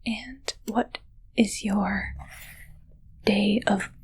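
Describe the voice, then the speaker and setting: Woman playing an awkward, formal alien posing as ship's medic: hushed ASMR whisper, very unhurried, halting, faint noise behind. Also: medium pitch, steady tone. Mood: hesitant, curious. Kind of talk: ASMR roleplay, mostly whispered.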